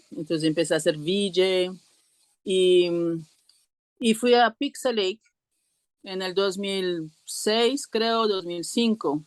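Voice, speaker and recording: A woman's voice: on the low side, clean tone, no room sound. Hesitant woman guest in a dry recording. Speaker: interview guest. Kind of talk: casual personal storytelling.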